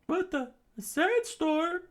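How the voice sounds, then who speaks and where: A man voicing agitated soldiers and rebels in an adventure story, with strained, frantic, exclamatory shouting. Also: very high-pitched, moderate pace, voice pushed up. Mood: panicked. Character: panicked, angry soldiers and rebels in battle.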